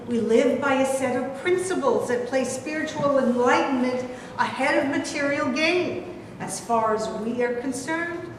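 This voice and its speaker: A woman's voice: sits high, a little slow. A woman reading a stern, lecturing religious leader. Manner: formal, lecturing, condescending. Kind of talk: staged play reading. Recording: echoey hall from far off, faint noise.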